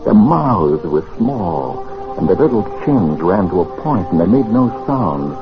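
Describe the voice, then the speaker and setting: Deep voiced nervous time traveler, old radio play, muffled sound, music behind him. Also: male voice, sing-song, unhurried. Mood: solemn storytelling. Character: wary male time traveller and narrator, easily frightened, gentle. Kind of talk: 1940s-50s radio acting, dramatic narration. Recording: dull old radio recording, music underneath.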